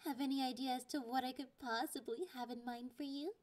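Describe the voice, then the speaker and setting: A woman voicing a clingy, possessive young catgirl girlfriend in an intimate ASMR roleplay, taunting in drawn-out phrases that slip into breathy whispers. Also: high voice, steady tone, a little mellow, close to an everyday voice. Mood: sweet, playful.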